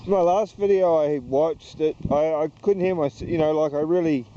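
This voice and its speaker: A man's voice: on the high side, mellow, muffled recording, a little hiss or hum. A man with a New Zealand accent rambling hesitantly while walking around town outdoors, vlogging to the camera. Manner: hesitant, halting, slow and wandering. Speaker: YouTuber. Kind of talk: rambling walk-and-talk vlog.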